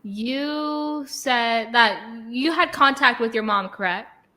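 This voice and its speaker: A woman's voice: on the high side, slow, pushed and bright. A woman hosting a podcast, asking short, curious follow-up questions. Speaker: podcast host. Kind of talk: interview questions.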